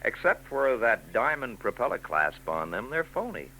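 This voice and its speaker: Gruff cop lieutenant, short doubtful questions, lively up-and-down voice. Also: male voice, mid-range voice, normal speed, slight background noise, poor audio. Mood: knowing. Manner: terse, skeptical questioning. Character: gruff male homicide detective lieutenant. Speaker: police lieutenant. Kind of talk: 1940s radio acting.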